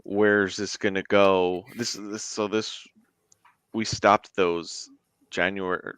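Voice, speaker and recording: A man's voice: low voice, little pitch movement, takes it slowly, fairly bright tone, smooth, no echo. A man speaking as a guest in a casual interview, with a rambling, conversational delivery. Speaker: guest, indie tabletop game creator.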